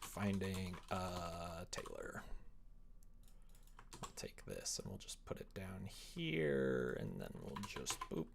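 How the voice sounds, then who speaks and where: A young man chats casually on a livestream while he works, thinking aloud in a halting way and often trailing off. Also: deep voice, very animated, vocal fry, background noise. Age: young adult. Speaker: livestreamer writing a visual novel. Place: small room at home, indoors.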